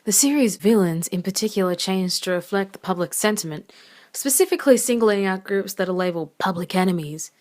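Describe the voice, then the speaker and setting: A woman's voice: mid-range voice, brisk, a little mellow. A young woman presenting a scripted analysis as a student narrator. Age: young adult. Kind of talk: scripted video essay narration.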